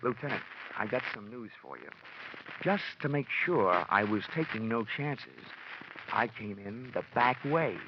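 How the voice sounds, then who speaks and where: An actor playing a cocky, hot-tempered American insurance investigator in 1940s radio detective style, brash, sardonic and hard-boiled. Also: male voice, lowish voice, big pitch swings, unhurried. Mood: wry. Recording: muffled, noisy vintage radio recording.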